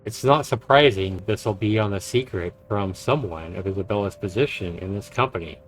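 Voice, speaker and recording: A man's voice: fairly low pitch, fairly quick, low-quality recording. A man who streams games, reading visual novel narration aloud and reacting to it. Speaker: game streamer. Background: game music.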